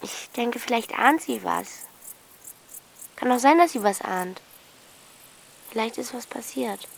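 A woman's voice: on the high side, expressive, measured pace, creaky, a little hiss or hum. A blunt teenage girl, faint buzzing. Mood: quiet, brooding. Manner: blunt, colloquial, questioning. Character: bossy, cheeky, brooding thirteen-year-old girl in wartime. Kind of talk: naturalistic radio drama acting.

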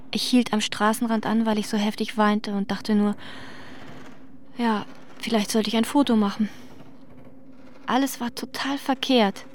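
A woman's voice: mid-range voice, slight background noise, close to an everyday voice. An actress playing a shaken professional woman in a German radio drama, telling her story in a reflective, descriptive first person. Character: shaken professional woman visiting a wrecked neighborhood.

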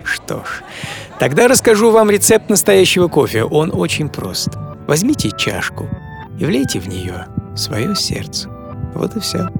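A man's voice: sits high, big pitch swings, measured pace, vocal fry, heavily acted. A man performing a dramatised first-person story as a dreamy, passionate coffee businessman, savouring his words theatrically. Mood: confident, inspired. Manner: theatrical, savouring, emphatic delivery. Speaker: author and narrator of a radio programme. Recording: studio voice over music. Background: music.

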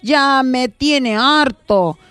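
A woman's voice: high-pitched, very slow, fairly bright tone, smooth, no room sound, heavily acted. A woman putting on a grumpy, fed-up God. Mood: irritated. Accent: Argentine. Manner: grumbling, annoyed.

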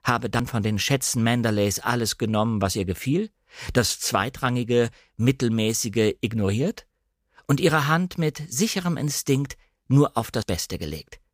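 Male narrator, standard German, medium pitch. Measured, precisely articulated literary storytelling, close and dry studio recording. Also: dry recording. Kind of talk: scripted literary narration, audiobook-like radio reading.

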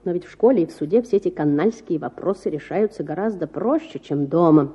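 A woman's voice: on the low side, lively intonation, fairly quick, warm tone, breathy edge, muffled recording. A woman playing a perplexed, cultured middle-aged father in a storybook, musing with rhetorical self-doubt.

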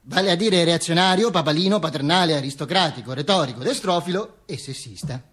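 A vain dictator speaking from beyond the grave. The voice is notably high for a man and rough-edged, husky. He holds forth pompously in a theatrical monologue, stumbling and repeating words. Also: fairly bright tone. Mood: wry, reminiscing. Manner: pompous, halting, with stammered repetitions and asides. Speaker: stage actor performing a monologue.

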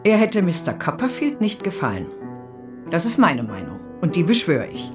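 A woman playing a blunt, warm-hearted, loyal country housemaid with a husky voice in a radio drama. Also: on the low side, big pitch swings, normal speed, music in the background. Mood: firm, outspoken.

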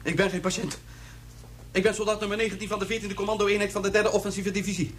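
An actor playing a defiant captive commando in a radio drama, curt and reciting drilled phrases. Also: male voice, sits high, flat delivery, fast, a bit bright, a little hiss or hum. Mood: rigidly defiant. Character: defiant captive commando soldier, stubbornly reciting his rank.

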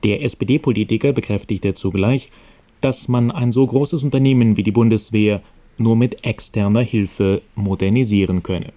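A man reading scripted radio news in a formal, measured and neutral manner. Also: lowish voice, fairly even intonation, warm tone.

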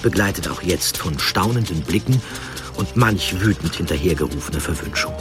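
A man with a low-pitched voice narrates a scripted historical story. He phrases it deliberately and dramatically, often in short units. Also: animated, over music.

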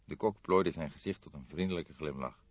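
A man's voice: a bass voice, brisk, breathy edge, no echo, dull audio. A man reading detective fiction as scripted literary narration for an audiobook.

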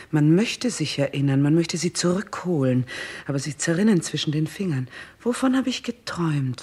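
A woman playing a stern, composed queen in a 1960s German radio play, speaking in a measured, solemn, commanding way. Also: sits low, darkish tone, breathy edge, heavily acted. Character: stern, composed queen guiding a king toward death.

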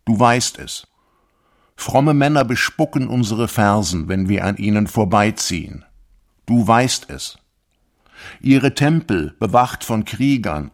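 Lowish male voice, slow solemn declamation, persecuted congregation leader, bitter, radio play. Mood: solemn, aggrieved. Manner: measured, solemn, literary declamation. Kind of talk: radio drama letter monologue.

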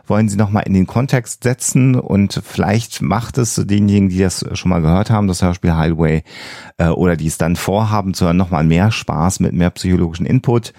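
A man hosting a podcast in a casual, measured way with some hesitant phrasing. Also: low-pitched, vocal fry, warm tone, dry recording, clean studio sound. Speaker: podcast host; psychologist. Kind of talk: casual scripted-intro podcast talk.

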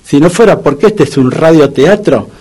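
An Argentine man playing a gloomy, soft-hearted dog owner in a comic radio drama, colloquial and repeating his words. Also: fairly high pitch, lively intonation, normal speed. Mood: mournful, resigned. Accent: Argentine Rioplatense. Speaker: radio comedy actor.

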